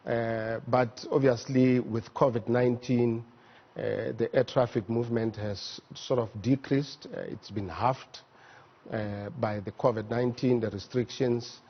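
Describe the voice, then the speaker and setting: Husky South African man, halting explainer. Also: medium pitch, steady tone, muffled recording. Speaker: air traffic management executive, interview guest. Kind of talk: explanatory studio interview. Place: TV news studio.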